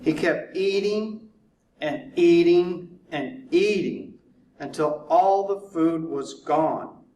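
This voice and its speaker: Slow, deliberate man reading a storybook. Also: on the high side, warm tone. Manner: deliberate, drawn-out repetition for effect. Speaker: fire chief reading to children. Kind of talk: children's storybook narration. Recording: roomy echo, distant mic, faint noise.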